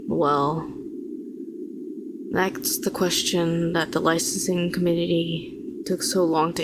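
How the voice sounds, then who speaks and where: A woman playing a weary, bitter telepathic villain who was once a hero, speaking to the listener in a sardonic, deliberate way in an audio roleplay. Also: on the low side, heavy background noise. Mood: bitter, reflective. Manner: deliberate, sardonic, rhetorical questioning, condescending endearments.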